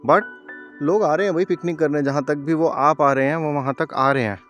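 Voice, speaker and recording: A man's voice: a little high, fairly even intonation, brisk, fairly bright tone, faint music behind. A man from India narrating a travel vlog outdoors on a mountain trail, talking straight to his viewers in a chatty way while filming on a handheld camera. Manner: chatty, talks directly to viewers. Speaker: travel vlogger.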